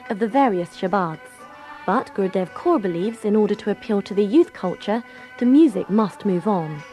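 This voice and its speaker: A woman's voice: medium pitch, darkish tone. A woman presenting a radio documentary, reading scripted narration.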